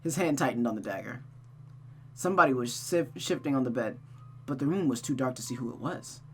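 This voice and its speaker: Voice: mid-range voice, lively intonation, fairly quick, a bit bright, faint music behind. A narrator reading a visual novel aloud in a dramatic storytelling manner, as a streamer does. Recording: noticeable background hum and noise.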